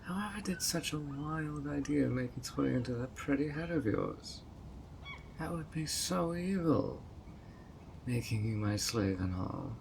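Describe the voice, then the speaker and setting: A man's voice: a little high, expressive, a little slow, creaky, darkish tone, a little hiss or hum, rough recording. A man voicing a sweet but obsessive male fairy who coaxes a guest in an audio roleplay, with a honeyed, playfully sly manner. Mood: coaxing, sly. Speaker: fairy host of a tea party.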